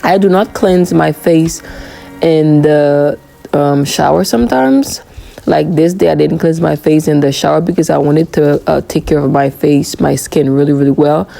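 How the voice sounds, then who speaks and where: A woman narrating a voiceover for her morning routine vlog, hoarse from a cold, chatty and relaxed with frequent "um"s. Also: low-pitched, moderate pace, soft background music. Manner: relaxed, chatty, many "um" fillers. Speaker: vlogger.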